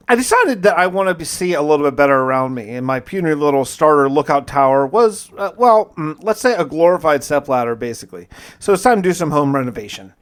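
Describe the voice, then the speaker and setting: A man hosting a gaming video, narrating his play casually in the first person with wry, conversational musing. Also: a little high.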